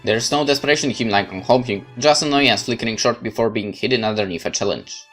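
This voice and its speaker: Guy reading out a horror visual novel, calm and brooding, mid pitch. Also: fast, soft background music. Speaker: let's play host narrating a visual novel. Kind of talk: dramatic reading of first-person narration.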